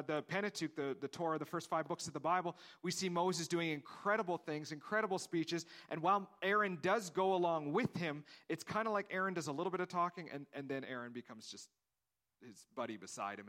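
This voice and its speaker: A man's voice: fairly high pitch, fairly bright tone. Man preaching a conversational sermon. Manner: conversational preaching, acting out dialogue; repeats words, restarts phrases. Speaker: pastor.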